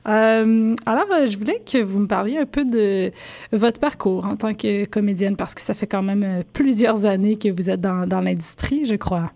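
A woman hosting a radio interview in a conversational, somewhat halting way. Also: mid-range voice, a little mellow.

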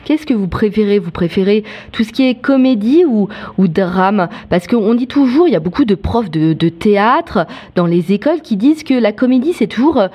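A woman interviewer putting questions in a hesitant, halting way. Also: medium pitch, lively intonation, dark tone, husky.

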